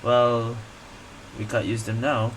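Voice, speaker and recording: A woman's voice: a bass voice, flat delivery, slow, low-quality recording. A woman giving casual, muttered commentary as she plays a video game, with heavy noise behind her. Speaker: game streamer. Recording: heavy background noise, game audio underneath.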